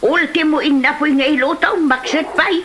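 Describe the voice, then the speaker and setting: A woman's voice: sits high, normal speed, a bit bright, a little hiss or hum. A woman with an Ilocano accent acting a scolding, sarcastic mother in a village comedy drama.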